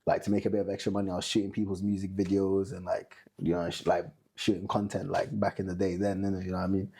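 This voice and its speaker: A man's voice: low voice, monotone, fast talker, mellow, breathy edge. A young man with a British, Manchester-tinged accent, chatting casually as a guest and calling the host "bro" a lot. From United Kingdom. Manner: laid-back, peppers speech with "bro" and "you know what I mean". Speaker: musician guest. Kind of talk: casual chat.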